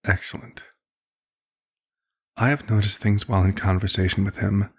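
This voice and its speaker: A man playing a courteous elder vampire doctor in an ASMR roleplay, speaking formally and with measured, concerned authority, in a gravelly, husky voice. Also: fairly low pitch, fairly even intonation. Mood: measured, clinical concern. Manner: formal, measured, polite wording. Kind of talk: ASMR roleplay, scripted one-sided dialogue.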